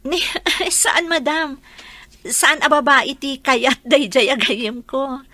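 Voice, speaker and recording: A woman's voice: high voice, slow, pushed and bright, dry recording, exaggerated voice. A woman playing a polite, deferential housemaid and devoted mother in a radio drama, respectful and a little hesitant. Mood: polite, friendly. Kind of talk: radio drama acting in dialogue.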